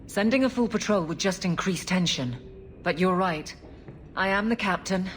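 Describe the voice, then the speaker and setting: A woman playing a stern, dutiful female city guard captain in a video game, speaking in an earnest, firm, measured way. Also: lowish voice, animated, a little hiss or hum, close to an everyday voice. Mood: dutiful, concerned.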